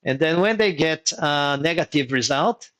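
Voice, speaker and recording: A man's voice: on the high side, expressive, normal speed, a bit bright. Methodical man mentoring, native Portuguese speaker. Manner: methodical, repeats key points for emphasis. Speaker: engineering migration mentor hosting a workshop. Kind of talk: live online teaching session.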